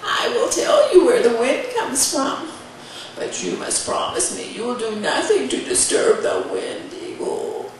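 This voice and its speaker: A woman storyteller voicing a wise, impatient old grandmother who scolds and shouts in a high, shrill voice. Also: big pitch swings, a little slow, heavy reverb, recorded from a distance, a little hiss or hum, low-quality recording. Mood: scolding.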